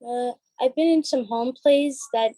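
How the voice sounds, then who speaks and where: A woman's voice: sits high, measured pace, warm tone, dry recording. A woman giving casual interview answers as a cast member, hesitant in how she talks. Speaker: novice stage play cast member.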